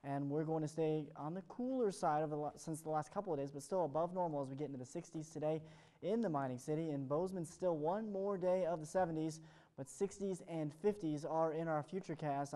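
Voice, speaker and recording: A man's voice: fairly high pitch, fast talker, mellow. An American man presenting an ad-libbed television weather forecast in an upbeat, chatty way.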